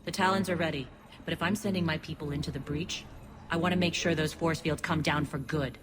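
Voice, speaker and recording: A woman's voice: low-pitched, steady tone, a little fast, very noisy, close to an everyday voice. An actress playing a principled, wary female militia leader in a video game, firm, dry and challenging.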